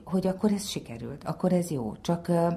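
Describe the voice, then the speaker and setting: Female expert, deep for a woman, dark warm tone, conversational and reflective. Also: lively intonation.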